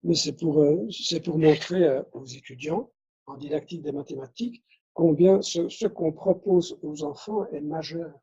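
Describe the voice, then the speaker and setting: A man's voice: on the high side, normal speed, dark tone, husky, lo-fi sound. A man from Belgium whose first language is Dutch, giving a conference lecture, restarting phrases and adding tag questions. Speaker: conference speaker.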